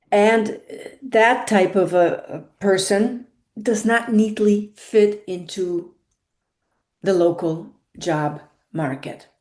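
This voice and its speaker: A woman's voice: medium pitch, warm tone. A woman with a non-native English accent giving a monologue as a career consultant, stressing key words and repeating her points.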